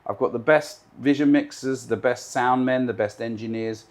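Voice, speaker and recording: A man's voice: mid-range voice, normal speed. A man in his fifties, raised in Britain, a live TV director answering interview questions confidently, often stacking points in emphatic lists.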